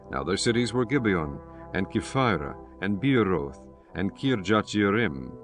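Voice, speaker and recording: A male audiobook narrator reads ancient scripture with formal, measured phrasing. His voice is on the low side and solemn, set over faint background music.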